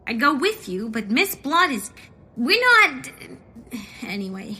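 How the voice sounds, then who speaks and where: A woman's voice: high voice, very animated, slow pace, sharp tone, slightly rough, full character voice. A woman voicing an eager, determined young female would-be police officer, earnest and peppy, in a comedic audio drama.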